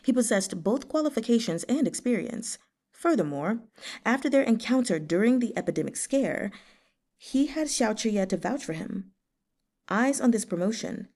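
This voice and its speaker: A woman's voice: a little high, lively intonation, moderate pace, a little mellow. Woman narrating a novel. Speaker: reader hosting a book read-along. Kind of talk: audiobook-style narration with casual asides.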